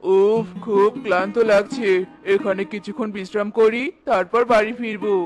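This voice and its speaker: A performer voicing a gentle, sentimental male bird in a cartoon story, with music behind. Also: mid-range voice, fairly even intonation, normal speed, lightly acted. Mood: weary, content.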